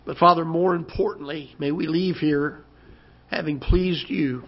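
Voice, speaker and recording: A pastor with a hoarse, recovering voice preaching a sermon in a church auditorium, halting and repeating words, then rising as he preaches. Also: on the high side, fairly even intonation. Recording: dull lo-fi church broadcast.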